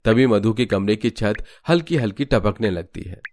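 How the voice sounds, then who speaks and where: Low-voiced male storyteller. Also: animated, normal speed, a little mellow, slight background noise. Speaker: narrator. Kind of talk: scripted storybook narration.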